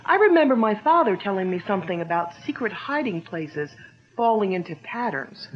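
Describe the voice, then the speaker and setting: A woman playing Nellie, an eager, quick-witted companion. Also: medium pitch, big pitch swings, lightly acted. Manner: eager, bright, quick to suggest ideas. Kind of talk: 1940s radio drama acting. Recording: dull lo-fi old radio sound.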